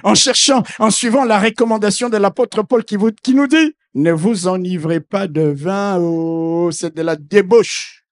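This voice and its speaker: A middle-aged man preaching a sermon as a bishop, not a native speaker of the language. He preaches fervently, calling out hallelujahs and swinging from quiet asides to loud proclamations. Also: sits high, unhurried, few pauses. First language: not French.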